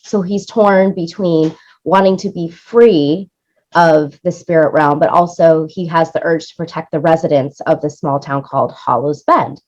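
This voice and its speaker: A woman's voice: low-pitched, fairly even intonation, moderate pace, a little mellow, lo-fi sound. A woman talking conversationally as an interview guest, explaining things in a chatty way and checking in with the listener as she goes. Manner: chatty, explanatory, often tags points with "right?". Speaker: interview guest, music supervisor and producer.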